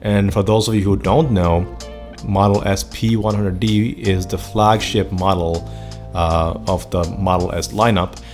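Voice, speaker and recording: A man's voice: low voice, fairly even intonation, a little slow, darkish tone, faint music behind. A man in his thirties with a Finnish accent, a native Finnish speaker, talking earnestly and enthusiastically as host of his own vlog. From Finland. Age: 30s. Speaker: channel host. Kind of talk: personal vlog monologue.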